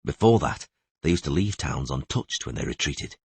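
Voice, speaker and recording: A man's voice: extremely low pitch, expressive, moderate pace, vocal fry, husky, no room sound, voice pushed down, exaggerated voice. A man voicing a stern, guarded monster hunter and patient mentor in a fantasy audiobook. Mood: somber. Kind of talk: audiobook character voice.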